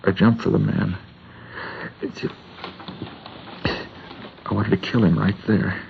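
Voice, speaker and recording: Wounded, gravelly young schoolteacher, low-voiced. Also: male voice, fairly even intonation, slow pace, heavily acted. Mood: anguished, bitter. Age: 30s. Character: wounded male schoolteacher in his thirties, pained and bitter. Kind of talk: 1950s radio drama acting. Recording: muffled, hissy vintage radio recording.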